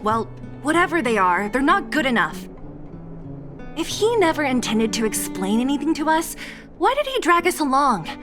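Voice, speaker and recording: A woman's voice: high voice, very animated, normal speed. An actress playing a feisty, protective young woman in a video game, blunt, brash and impatient in the way she talks. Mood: frustrated. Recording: guitar music behind the voice. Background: plucked guitar music.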